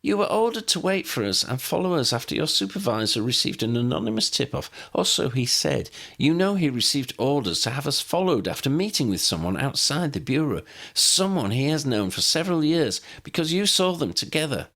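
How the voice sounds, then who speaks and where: A man voicing a young woman who speaks with calm, powerful, uncanny certainty. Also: a little high, a little fast, creaky, hardly any pauses, voice pushed up, heavily acted. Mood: insistent, sure.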